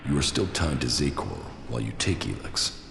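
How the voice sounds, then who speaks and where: Extremely deep, gravelly exiled commander. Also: male voice, very animated, brisk, reverberant, background noise, exaggerated voice. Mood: firm, persuading. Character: gruff exiled alien-army commander, stern and stoic man. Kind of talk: video game cutscene acting.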